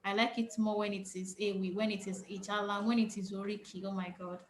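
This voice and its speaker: A woman's voice: mid-range voice, flat delivery, brisk, echoey room. A young Nigerian woman, a native Yoruba speaker, moderating a panel in a chatty, enthusiastic way. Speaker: panel host.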